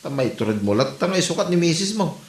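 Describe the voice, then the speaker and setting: A man's voice: fairly high pitch, sing-song, quick pace, roomy sound. A man playing a nosy, evasive married buddy in an Ilocano comedy drama, with an Ilocano Filipino accent.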